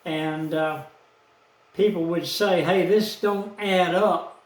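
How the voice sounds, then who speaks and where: Slow, high-voiced old man. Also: fairly even intonation, distant mic. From Texas, USA. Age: 70s. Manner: slow, aggrieved, folksy. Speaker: vlogger. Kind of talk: rambling personal storytelling. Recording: echoey room, off-mic.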